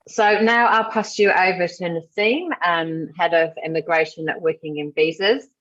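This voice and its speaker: A woman's voice: deep voice, fairly bright tone, lo-fi sound. A woman from the UK hosting a live webinar, chatty and full of fillers. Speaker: webinar host.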